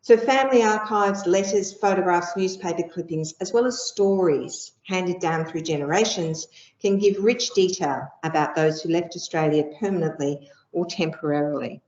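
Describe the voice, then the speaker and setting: Middle-aged woman lecturer, low voice, measured, explanatory delivery, echoey room. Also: a little mellow. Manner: measured, explanatory, with frequent ums. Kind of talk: academic lecture with slides. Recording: echoey room, dull lo-fi sound.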